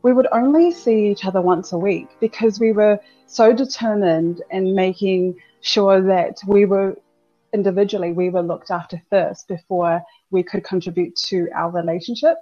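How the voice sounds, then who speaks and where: A woman's voice: mid-range voice, fairly even intonation, normal speed, warm tone, highs cut off, faint music behind. A woman in her thirties from New Zealand, a business owner talking candidly as a podcast guest, chatty with frequent laughs and repeated words. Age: 30s. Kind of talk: casual interview chat.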